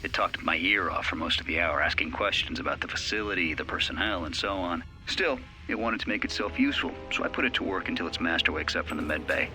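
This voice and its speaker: A businesslike mining facility worker recording a video game log. His voice is low-pitched with a very sharp, forceful edge and a matter-of-fact delivery. Faint music sits behind him. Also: fast, rough recording.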